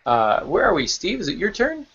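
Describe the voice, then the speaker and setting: A man's voice: high-pitched, wildly swooping pitch, moderate pace, a bit bright, breathy edge. A man hosting a podcast with casual, chatty delivery among co-hosts and guests. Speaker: podcast host. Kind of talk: casual panel chat. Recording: dull lo-fi online audio.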